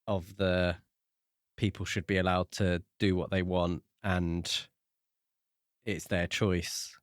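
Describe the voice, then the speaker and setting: Low-voiced man in thoughtful discussion. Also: level tone, slow, no echo, clean studio sound. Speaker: podcast co-host. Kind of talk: casual book discussion.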